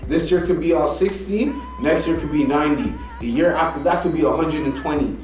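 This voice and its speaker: An argumentative man presses his point hard, swearing and circling back to the same lines. His voice sits at an ordinary middle pitch. He is recorded in a very echoey room, a bit away from the mic, with faint music under him. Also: a little fast, far from the mic. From Canada. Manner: insistent, argumentative, swears often, repeats "you know what I mean". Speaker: podcast co-host. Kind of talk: heated casual debate.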